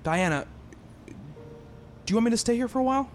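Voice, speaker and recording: An actor playing an earnest, devoted boyfriend who narrates a horror radio play in measured, formal, literary language. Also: male voice, very high voice, animated. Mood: concerned, reassuring. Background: music.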